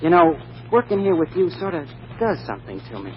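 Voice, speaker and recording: A man's voice: a little high, big pitch swings, a little fast. Flirty office guy, muffled radio. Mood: flirtatious. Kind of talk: 1940s radio comedy acting. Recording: muffled, noisy vintage radio recording.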